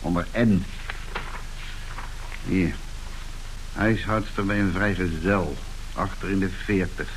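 A man's voice: sits low, slow, pauses often, rough recording. A man acting in a radio play as a seedy, cynical henchman and former tax official, dry and matter-of-fact. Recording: hissy old radio recording.

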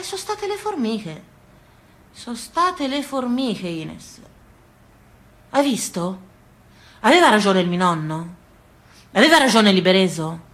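An actress performing an earthy, stubborn yet tender Tuscan countrywoman in an expressive, colloquial stage monologue. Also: fairly high pitch. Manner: colloquial, expressive, addressing a neighbour with rhetorical questions. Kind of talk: theatrical monologue, rustic storytelling.

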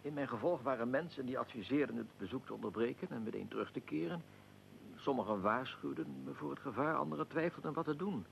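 Radio drama: aristocratic archduke, dignified and weary, very gravelly, formal delivery. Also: male voice, medium pitch, fast talker, a little hiss or hum. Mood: measured recounting. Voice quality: gravelly, creaky. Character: Austrian archduke, aristocratic, weary, recounting his own assassination.

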